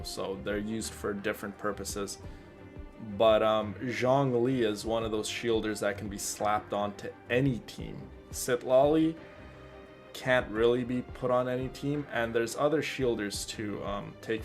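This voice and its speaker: A male game streamer with a fairly low voice chats casually through his gameplay. He explains in an informal, halting way, with game music clearly behind him.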